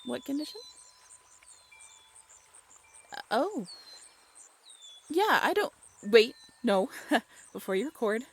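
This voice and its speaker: A woman acting a bashful, affectionate young writer on a date in an intimate audio roleplay, halting and playfully teasing. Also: fairly high pitch, sing-song, a little hiss or hum. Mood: flustered.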